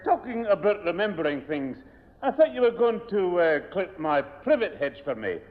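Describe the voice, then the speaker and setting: An actor playing an older grandad in a 1970 radio sitcom, genial but quick to grumble. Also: male voice, sits high, big pitch swings. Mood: grumbling. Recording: tinny noisy old radio, hall reverb.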